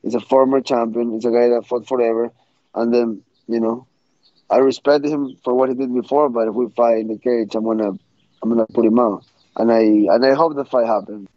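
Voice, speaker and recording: A man's voice: mid-range voice, fairly even intonation, a little fast, dry recording, lo-fi sound. A young man from Ecuador, giving frank, blunt interview answers full of restarts. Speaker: guest fighter.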